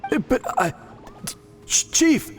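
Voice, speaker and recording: An actor playing a proud but easily rattled young priest in a video game, earnest, dramatic and stammering. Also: male voice, slow, big-room sound, soft background music, close to an everyday voice. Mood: startled, flustered.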